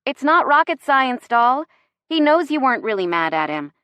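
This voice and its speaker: A woman's voice: high voice, sing-song, moderate pace, pushed and bright, clean tone, dry recording, voice pushed up, heavily acted. A woman voicing a young woman who is a blunt, playful and supportive best friend in a novel. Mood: teasing.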